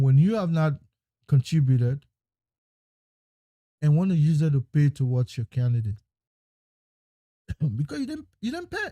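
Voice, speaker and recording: A man giving an emphatic political monologue, repeating phrases and swinging between loud and hushed lines. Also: fairly high pitch, no room sound. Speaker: political commentator.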